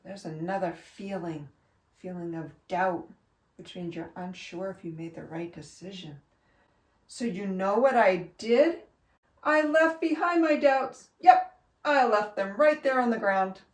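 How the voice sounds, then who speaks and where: A woman reading a children's storybook aloud like a teacher, with expressive, emphatic and instructive delivery. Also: medium pitch, measured pace. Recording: echoey room, distant from mic.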